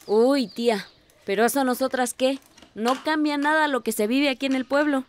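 Actress as outspoken village girl, admiring, questioning, high-pitched, radio drama, faint noise behind. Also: normal speed, natural voice. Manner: eager, questioning. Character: curious, admiring, outspoken teenage village niece.

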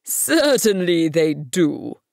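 A woman's voice: fairly low pitch, very animated, vocal fry, a little mellow, dry recording, studio quality, full character voice. A woman voicing a pompous old male king from a storybook, speaking in a grand, imperious manner of command. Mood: grand, certain. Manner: grand, imperious, slowly proclaiming.

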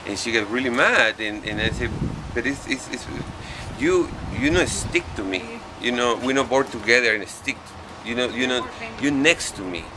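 A middle-aged man from Peru with a Spanish accent, talking casually in halting non-native English as an interviewed painter. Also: mid-range voice. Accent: Peruvian Spanish. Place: outdoors on a porch. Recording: outdoor street noise.

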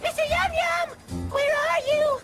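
An actor voicing a timid, excitable, plaintive little boy in a cartoon video game. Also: extremely high pitch, a little slow. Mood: panicked. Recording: loud music behind the voice. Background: music.

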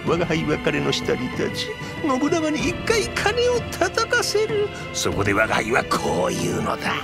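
A middle-aged schemer of a warlord from a video game, gleeful and volatile. His voice is high for a man and swoops wildly between sly muttering and shrieking. He draws his words out slowly and melodramatically, over loud music. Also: wildly swooping pitch, creaky, full character voice. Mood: sly, plotting. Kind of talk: Japanese video game voice acting.

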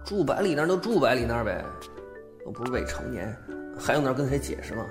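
A man acting a flustered young guy, creaky voice. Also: mid-range voice, very animated, quick pace. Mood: brooding, self-justifying. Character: flustered, excitable young man confused about his feelings. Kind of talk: Mandarin audio drama acting. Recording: background music under the voice.

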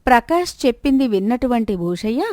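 Higher-voiced woman narrating a story. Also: expressive, fairly quick, mellow, no room sound, clean studio sound.

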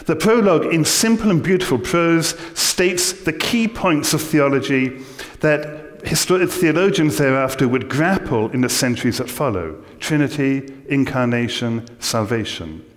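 A middle-aged man with an English accent giving a measured, scholarly theology lecture from a church lectern. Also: a little high, animated. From England. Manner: measured, scholarly, dry humor. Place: large church, indoors. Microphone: lectern mic. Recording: long church reverb.

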